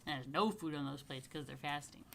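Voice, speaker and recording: A woman's voice: low voice, creaky, background noise. A woman reading a picture book to young families as a rabbi, stretching key phrases expressively and slipping into chatty asides. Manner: expressive, slow emphatic storytelling with chatty murmured asides. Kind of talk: children's story read-aloud with casual asides.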